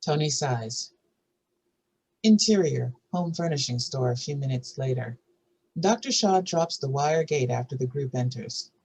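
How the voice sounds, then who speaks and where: Casting: male narrator, husky, reads screenplay directions aloud. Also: medium pitch, expressive, measured pace, darkish tone, poor audio. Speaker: narrator reading stage directions. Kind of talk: scripted narration.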